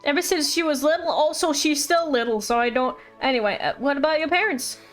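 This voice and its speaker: High-voiced woman streaming a game. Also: a little fast. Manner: chatty, reactive. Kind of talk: casual live game commentary, reading dialogue aloud. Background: game music.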